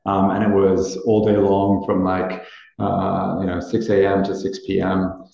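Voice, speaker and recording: A man's voice: low voice, monotone, unhurried, gravelly, warm tone, heavy reverb, highs cut off. A man who grew up in Australia and Nepal, introducing a meditation course to a live class, hesitant with many ums and restarts.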